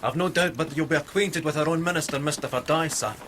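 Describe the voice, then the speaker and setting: A man plays a laird's loyal servant in a radio play, speaking quickly in a fairly high voice. He is brisk and respectful, heard over clear background noise. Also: fairly bright tone, close to an everyday voice. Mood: welcoming, excited. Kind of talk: radio drama acting.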